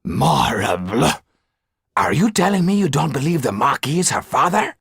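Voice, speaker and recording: A man's voice: medium pitch, sing-song, normal speed, gravelly, fairly bright tone, husky, no room sound, clean studio sound, voice pushed up, exaggerated voice. Man as a fretful, theatrical, self-pitying theatre owner. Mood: alarmed. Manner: fretful, exclamatory, mournful.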